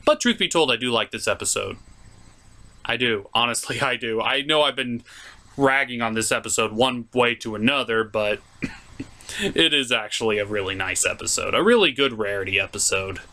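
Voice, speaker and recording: A man's voice: medium pitch, moderate pace, pushed and bright. A man hosting a comedic cartoon review, talking through the episode as commentary.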